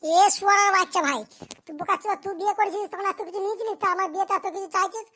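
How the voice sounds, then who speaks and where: Squeaky, brash young cartoon bridegroom. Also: fairly even intonation, bright, forceful tone. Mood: annoyed. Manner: crude, blunt, rapid complaining. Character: brash, foul-mouthed, impatient young bridegroom. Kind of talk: comedy cartoon dialogue.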